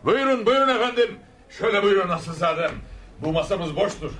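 An actor in a radio play voicing a testy, inquisitive innkeeper who flatters his customers. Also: high-pitched, brisk, husky, exaggerated voice. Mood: eager, flattering. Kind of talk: radio theatre acting.

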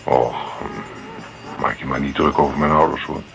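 A man's voice: very deep voice, fairly even intonation, moderate pace, gravelly, a bit bright, faint music behind, full character voice. An actor playing a blunt, restless man in a Dutch radio drama. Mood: gruff, dismissive. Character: restless, blunt young man leaving for abroad.

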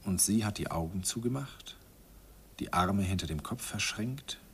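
A man's voice: low-pitched, expressive, a little slow, fairly bright tone, slight background noise, full character voice. An actor narrating a radio play as an older man recalling his youth with wistful, self-mocking irony. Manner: wistful, self-ironic reminiscing.

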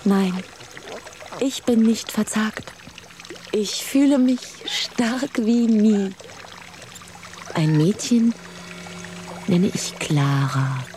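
Tender, resolute young fisherman's wife, unhurried. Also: mid-range voice, noisy background. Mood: earnest, hopeful. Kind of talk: German children's radio drama acting.

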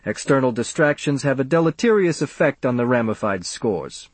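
A man voicing a fat, self-important older doctor in an audiobook, with a pompous, measured, clinical manner. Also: mid-range voice, expressive, dry recording, close to an everyday voice. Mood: firm, lecturing.

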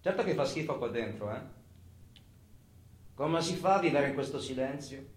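A man's voice: medium pitch, expressive, moderate pace, lightly acted. An actor playing a taunting, possessive male criminal in a theatrical monologue, with a sneering, foul-mouthed, volatile manner. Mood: needling, nostalgic. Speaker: stage actor. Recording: echoey room, music under the voice.

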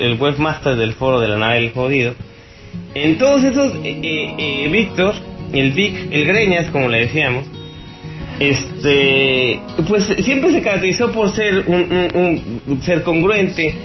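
A man with a Mexican accent giving informal, opinionated political commentary as a radio host, rambling and halting, with blunt scorn. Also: mid-range voice, dull audio. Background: music.

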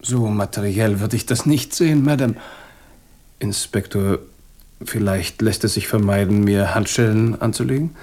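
An actor playing an evasive, polite schemer cornered by police in a German radio crime drama, formal and defensive. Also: male voice, on the low side, normal speed, darkish tone. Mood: resigned, formal.

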